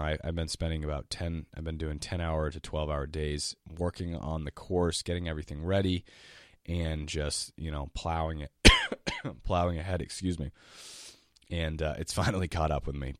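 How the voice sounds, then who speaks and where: A sick man hosts his music-teaching podcast in a very deep, lowered voice. He rambles eagerly, restarts sentences and coughs now and then. The sound is dry and close, with no room around it. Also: no room sound. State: sick, voice lower than usual. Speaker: podcast host and jazz piano teacher. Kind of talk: casual solo podcast monologue.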